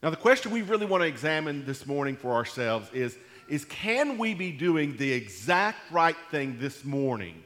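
Folksy preacher man with deep voice. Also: sing-song, normal speed, few pauses, a bit bright, in a large hall. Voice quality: deep bass from allergies. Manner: folksy, rambling, with restarts. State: congested from allergies. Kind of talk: sermon. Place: church sanctuary.

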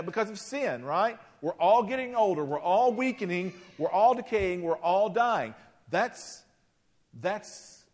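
A man in his forties preaching a sermon in a conversational, self-deprecating way, often checking in with the congregation. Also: high voice. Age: 40s. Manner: conversational, self-deprecating, frequent "right?" asides. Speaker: pastor. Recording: long hall reverb.

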